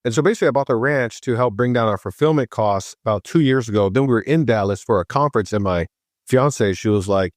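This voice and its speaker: A man from Hawaii, a podcast guest, telling his story in a chatty, casual way and voicing himself with lively quotes. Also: medium pitch, fast, no echo, studio quality. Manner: chatty, animated voice when quoting himself.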